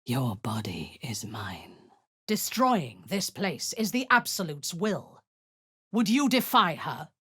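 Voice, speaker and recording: A woman's voice: low voice, big pitch swings, takes it slowly, a bit bright, husky, dry recording, clean studio sound, exaggerated voice. A woman voicing a cruel, zealous drow warlord in a video game, giving cold commands that often sink into a menacing whisper.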